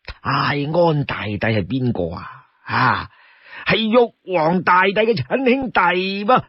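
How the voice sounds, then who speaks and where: A man voicing an easygoing, money-minded old townsman in a Cantonese story, chatty and full of drawn-out interjections. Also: fairly high pitch, animated, breathy edge, no room sound, highs cut off, exaggerated voice. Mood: proud, chatty.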